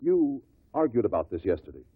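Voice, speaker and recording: An actor playing a shrewd investigator who puts formal, insistent questions to witnesses at an inquest in a 1950s radio drama. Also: male voice, mid-range voice, very animated, fairly quick, husky, no room sound. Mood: probing, firm. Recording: muffled old radio recording.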